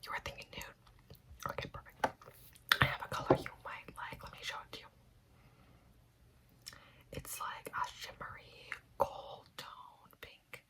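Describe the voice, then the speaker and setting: A woman's voice: a little slow, long pauses, a bit bright. A young woman in an ASMR roleplay, whispering as a chatty, gossipy nail technician.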